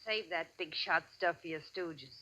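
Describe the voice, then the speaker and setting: A sharp-tongued gangster's moll. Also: female voice, lowish voice, moderate pace. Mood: disapproving. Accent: American. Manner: sarcastic, tough, slangy. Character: hard-boiled, sardonic gangster's moll, unimpressed and sharp-tongued. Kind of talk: 1940s American radio crime drama acting. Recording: dull, band-limited old radio recording.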